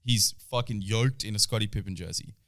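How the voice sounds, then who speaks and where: A young man who co-hosts a podcast. He tells a bar story in casual chat, animated and swearing freely. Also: on the low side, a little fast. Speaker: podcast co-host.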